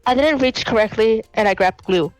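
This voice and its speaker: A woman's voice: a little high, moderate pace, music in the background, poor audio. A woman in her late teens calling in to tell an embarrassing story, giggling and rambling with many restarts. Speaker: caller. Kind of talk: casual confession story.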